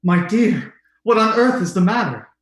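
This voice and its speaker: A man's voice: high-pitched, lively intonation, normal speed. A man playing a tender, lovesick man in an old-time radio drama, earnest and romantic, recorded in an echoey room. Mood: concerned. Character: tender, lovesick man devoted to a forbidden love.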